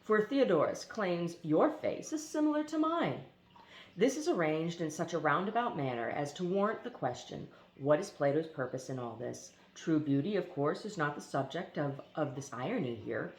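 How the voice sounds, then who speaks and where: A woman reading a philosophy essay aloud in a deliberate, emphatic way, now and then stumbling on foreign names. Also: sits low, expressive, darkish tone. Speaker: reader. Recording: echoey room.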